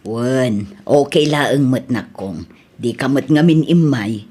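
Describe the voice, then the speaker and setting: A woman playing a calm, tactful older aunt with a husky voice in an Ilocano-accented radio drama. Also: rumbling low, slow, heavily acted. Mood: calm, confiding.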